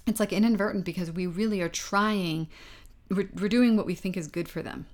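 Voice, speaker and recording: A woman's voice: medium pitch, brisk, a little mellow. A middle-aged woman co-hosting a parenting podcast, talking in an earnest, casual way with many restarts and some laughter at herself. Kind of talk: casual conversation.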